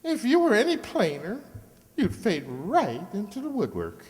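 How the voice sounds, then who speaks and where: A woman's voice: medium pitch, huge pitch swings, measured pace, darkish tone. Woman acting swooping, catty hairdresser in hall. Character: blunt, catty beauty parlor hairdresser. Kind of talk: amateur stage-style old radio comedy acting. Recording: echoey hall with music.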